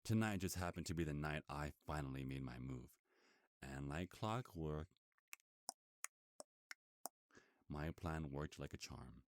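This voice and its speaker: Very deep, smug werewolf captor played by a man. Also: normal speed. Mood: seductive gloating. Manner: taunting, possessive, smooth-talking. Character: smug, predatory male werewolf captor in human form, gloating seductively. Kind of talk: ASMR roleplay monologue.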